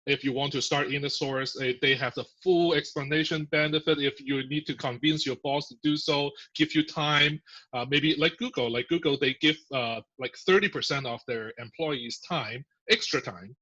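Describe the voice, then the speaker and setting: Bright-voiced man explaining over muffled audio. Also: a little high, moderate pace. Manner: chatty, filler-heavy explaining with laughs. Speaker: podcast guest, software engineer. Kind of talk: casual interview.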